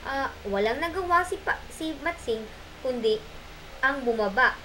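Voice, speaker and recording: Narrator for a homemade puppet show: woman, bright high voice, informal storytelling delivery. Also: animated, measured pace, slight background noise, rough recording. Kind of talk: amateur storytelling, informal rehearsal.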